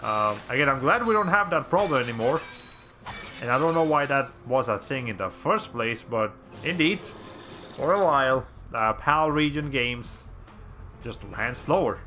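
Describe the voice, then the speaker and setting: A male streamer with a raspy voice giving casual, rambling commentary while playing a game, often restarting his sentences. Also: mid-range voice, expressive, normal speed, pushed and bright, a little hiss or hum. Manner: rambling, chatty, frequent restarts.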